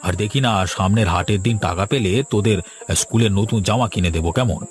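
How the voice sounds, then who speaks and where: A man playing a gentle, henpecked village father in a fairy-tale cartoon, speaking in a mild, placating, weary way. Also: fairly low pitch, fast, soft background music, natural voice. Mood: weary tenderness.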